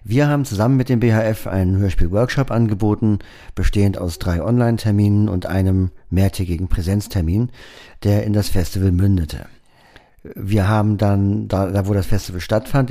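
A man speaks in a low, mellow voice. He explains things calmly and steadily, with the odd hesitation as he leads into a recorded panel. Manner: calm, explanatory, with fillers. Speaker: workshop leader introducing a recording. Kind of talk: recorded spoken introduction.

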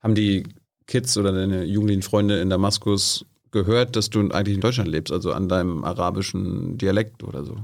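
A male host in a studio asking an interviewee quick questions, often restarting his sentences. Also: low-pitched, steady tone, vocal fry. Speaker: podcast host. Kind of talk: interviewing a guest.

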